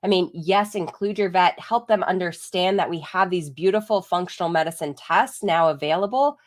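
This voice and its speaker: A woman's voice: on the low side, normal speed. Woman, 30s, holistic vet, stuffy from a cold, casual explainer tone. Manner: chatty, explanatory, frequent "like" and "right?". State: congested from a chest cold. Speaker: holistic veterinarian. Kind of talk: live-streamed educational talk.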